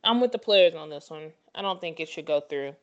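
A woman's voice: low-pitched, lively intonation, fairly quick, dull audio. A woman co-host in casual sports chat, opinionated and repeating herself for emphasis.

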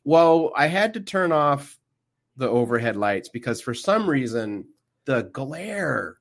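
A man's voice: medium pitch, sing-song, vocal fry. A man hosting a podcast in casual, joking banter from the back room of a game store. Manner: chatty, jokey, quick asides. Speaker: podcast host.

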